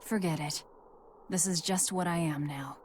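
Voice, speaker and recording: An actress playing a cold, guarded young female demon fighter with a curt, deadpan manner in a video game. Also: lowish voice, measured pace, slight background noise, lightly acted. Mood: bitter, resigned. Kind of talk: English video game voice acting.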